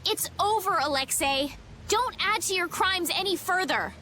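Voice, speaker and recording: A very high-voiced gentle princess. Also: female voice, animated, normal speed, slight background noise, heavily acted. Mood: resolute, grieved. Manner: polite, earnest, pleading. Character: gentle, earnest young princess. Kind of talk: video game cutscene acting.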